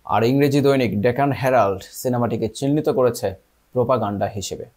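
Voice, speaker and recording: A man presents a film analysis in scripted narration. His voice sits at an ordinary middle pitch as he addresses his viewers. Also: brisk.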